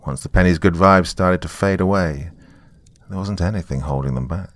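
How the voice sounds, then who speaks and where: A man's voice: sits low, normal speed, no echo, studio quality. A man narrating a first-person horror story in a conversational, wry way.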